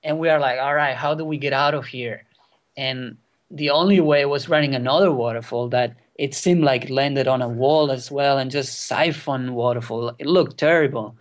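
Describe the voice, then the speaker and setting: A young man in his twenties, a non-native English speaker whose first language is Catalan, telling a wild kayaking story as a guest, excited and swearing freely. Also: medium pitch, normal speed. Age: 20s. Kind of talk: casual storytelling interview. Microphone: remote call.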